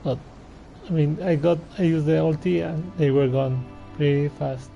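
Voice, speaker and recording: A man giving casual gameplay commentary in a halting, hesitant way on a muffled microphone. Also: fairly high pitch, fairly even intonation, gravelly, warm tone, soft background music. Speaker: gaming streamer. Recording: muffled microphone with faint background noise.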